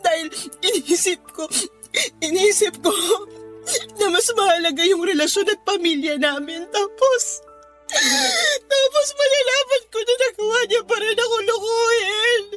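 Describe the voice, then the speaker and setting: Sobbing, high-pitched heartbroken wife. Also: female voice, measured pace, fairly bright tone. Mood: bitter, tearful. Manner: tearful, pleading, repeats herself. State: crying. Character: heartbroken betrayed young wife and mother, anguished, self-doubting. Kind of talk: Tagalog radio drama acting. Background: background music.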